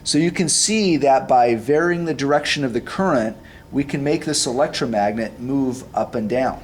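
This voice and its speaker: A man in his forties explains a science demonstration step by step, like a physics teacher, in a deliberate way. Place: classroom science lab, indoors. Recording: roomy, distant mic, background rumble.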